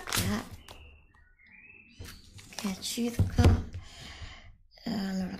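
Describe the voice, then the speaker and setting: A middle-aged woman whispering softly in an ASMR roleplay as a nutritionist, giving instructions in a drawn-out way. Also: fairly high pitch, creaky, very noisy. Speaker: ASMR creator role-playing a nutritionist.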